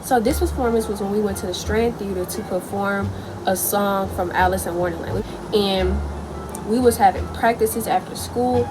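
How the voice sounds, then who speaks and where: A young woman telling a personal school story in a chatty, animated way. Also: medium pitch, normal speed, over music, poor audio. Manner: chatty, animated, lots of likes. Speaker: vlogger. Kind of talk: casual storytime.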